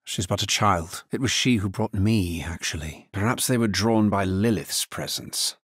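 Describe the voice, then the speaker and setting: An actor playing a grave, solemn necromancer hero in a fantasy video game, speaking in a measured, formal manner. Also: male voice, fairly low pitch, husky, no room sound, clean studio sound. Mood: grave, restrained. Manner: measured, somber, formal delivery. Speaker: video game player character. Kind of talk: scripted fantasy game voice lines.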